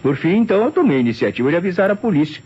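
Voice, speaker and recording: A man's voice: high-pitched, very fast, breathy edge, heavily acted. An actor playing a flustered, deferential private secretary, hesitant and stammering as he testifies in an old radio mystery. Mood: nervous. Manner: hesitant, stammering, tag questions, animated storytelling. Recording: dull, noisy old radio drama recording.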